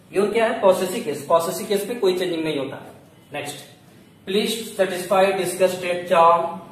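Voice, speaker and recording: A man with an Indian accent teaching like a classroom grammar tutor, explaining step by step and answering his own questions. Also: sits high. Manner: didactic, asks questions and answers them himself. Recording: very echoey room, distant mic, faint noise.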